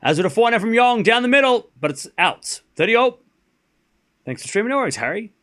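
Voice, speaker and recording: A man with a light Australian accent calling tennis on a live stream, getting excited on rallies and muttering between points while answering chat. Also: very high voice, fast talker. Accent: Australian, softened. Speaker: streamer commentator. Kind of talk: live play-by-play commentary with chat.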